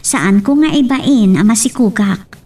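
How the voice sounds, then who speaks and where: A woman's voice: a little high, unhurried, mellow, breathy edge, far from the mic. A woman playing an earnest, friendly single mother talking in an Ilocano drama, with an Ilocano accent. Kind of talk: Ilocano radio-style serial drama acting.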